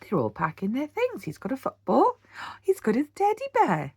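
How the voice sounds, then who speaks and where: A British woman, a teacher, reading a children's storybook aloud in an animated bedtime-story style. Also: sits high, moderate pace, husky, no echo, clean studio sound. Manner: animated, with gasps and sound words.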